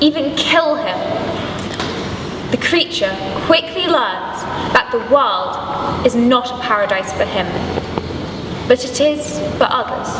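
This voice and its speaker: Narrator: young woman, high register, unhurried scripted read, heard from a distance in a reverberant space. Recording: echoey church hall, distant mic, noisy.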